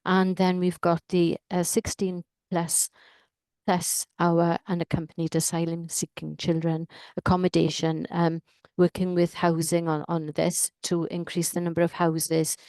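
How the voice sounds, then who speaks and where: Halting low-voiced woman. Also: completely flat delivery, a little mellow, dry recording. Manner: halting, many fillers and restarts. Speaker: council cabinet member presenting budget. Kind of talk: committee meeting presentation. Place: council meeting room.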